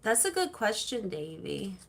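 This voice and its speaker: Woman livestream host, slow unhurried chat, laughs easily, reads out viewer comments. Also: mid-range voice, very animated, gravelly, a bit bright. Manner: chatty, laughs easily, rambling with fillers.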